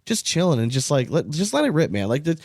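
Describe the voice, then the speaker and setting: A man's voice: fairly high pitch, big pitch swings, fast talker, a little mellow, dry recording, clean studio sound. A man co-hosting a sports podcast in a casual, rambling, informal way, with some swearing. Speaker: podcast co-host.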